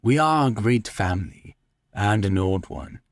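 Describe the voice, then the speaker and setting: A man's voice: lowish voice, animated, unhurried, no echo, clean studio sound. A man voicing a cold, slyly polite avenger who feigns concern, in a dramatic story reading. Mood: feigned concern.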